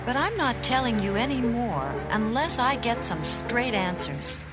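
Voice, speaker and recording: A woman acts out a feisty, blunt woman scientist for a storybook tape. Her voice is high and swings widely in pitch, wary yet soft-hearted underneath. Music plays clearly behind her. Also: animated, unhurried, poor audio. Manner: blunt, plain-spoken. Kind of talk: dramatized children's read-along storybook acting. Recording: voice over loud background music.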